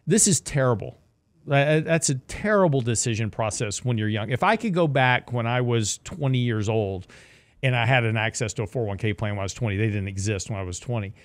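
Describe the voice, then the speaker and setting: A man's voice: medium pitch, expressive, brisk, dry recording. A man in his sixties hosting a casual financial advice talk, chatty and jokey, repeating himself as he explains. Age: 60s. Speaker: show host, investment strategist.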